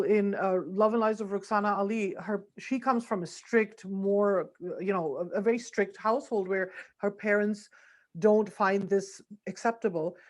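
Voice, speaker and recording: A woman raised in Bangladesh speaking as an author on a panel, making a point in an earnest, steady way and backing it up with examples. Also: medium pitch. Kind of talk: casual panel discussion.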